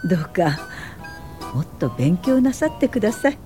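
A woman playing a composed, politely firm older welfare pioneer in a radio drama, with measured narration and dialogue. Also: medium pitch, big pitch swings, music in the background, natural voice. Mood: gently firm.

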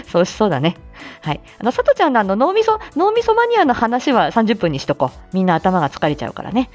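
A woman's voice: fairly high pitch, wildly swooping pitch, fast talker. A woman hosting a casual live stream, chatting with listeners in a polite but informal way and often chuckling as she talks. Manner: chatty, polite announcements mixed with casual asides. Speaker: live audio stream host and speech-language therapist. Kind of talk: casual morning live chat, answering listener comments. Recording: faint music behind the voice. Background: faint background music.

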